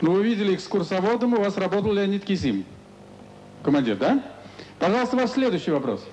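A middle-aged presenter speaks formally and evenly into a handheld mic in a large studio. His voice is fairly high, with faint hiss behind it. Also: sing-song, a little mellow, rough recording. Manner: formal, measured. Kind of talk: broadcast moderation.

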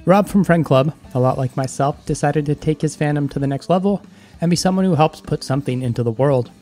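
A man's voice: a little high, fairly quick, dark tone, faint music behind. A man hosting a podcast, introducing and interviewing his guest. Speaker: podcast host.

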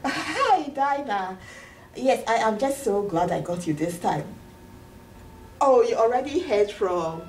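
A woman acting an excitable, apologetic immigrant woman in a comedy sketch, with a Ghanaian accent. She gushes and restarts eagerly. The sound is roomy and distant, with a light hiss. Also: on the high side, slow. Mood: elated, gushing. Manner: gushing, hesitant restarts. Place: indoor office waiting room and counter. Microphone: far camera.

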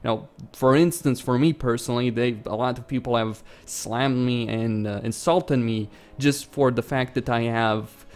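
A man's voice: mid-range voice, fairly even intonation, moderate pace, hardly any pauses, a little mellow. A man with a Romanian accent, a gaming YouTuber talking bluntly and swearing freely in casual commentary over gameplay.

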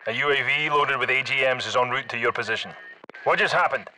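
Tough Scottish soldier, forceful and clipped. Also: male voice, medium pitch, fast, a little hiss or hum, poor audio. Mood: businesslike, terse. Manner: clipped, terse radio-style military callouts. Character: tough, commanding male special forces soldier in combat. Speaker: video game squad leader. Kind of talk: military shooter game battle chatter.

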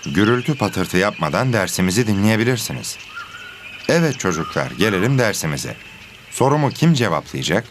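A man's voice: fairly low pitch, sing-song, moderate pace. A man voicing a kindly, patient teacher in an educational cartoon, questioning and encouraging the pupils. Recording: clearly noisy background.

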